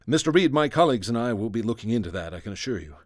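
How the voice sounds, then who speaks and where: A man playing a calm, guarded, weary professor interviewed in a vintage-style radio drama. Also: medium pitch, dry recording, studio quality, lightly acted. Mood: guarded, subdued. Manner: measured, guarded.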